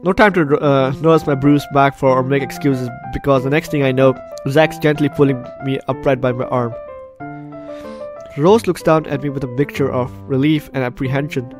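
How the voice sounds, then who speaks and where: A man's voice: medium pitch, normal speed. Male gamer narrating over background music. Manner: expressive, interjecting reactions. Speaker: gaming video host. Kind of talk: gameplay commentary and reading game text aloud.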